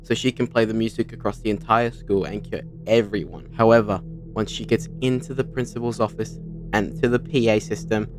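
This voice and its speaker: A man's voice: fairly low pitch, fairly even intonation, brisk. A young adult man hosting a video with casual, scripted commentary. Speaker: video host. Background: background music.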